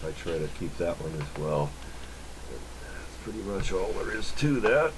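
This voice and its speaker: A man giving a casual hands-on workbench demonstration, unhurried and half muttering to himself as he works. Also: sits low, sing-song, noisy background. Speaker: electronics repair hobbyist, channel host. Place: indoor electronics workbench.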